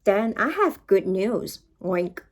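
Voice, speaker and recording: A woman voicing a calm, helpful little pig from a children's storybook, answering matter-of-factly in short lines. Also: medium pitch, slow pace, slight background noise, pitched below the performer's own voice. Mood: informative.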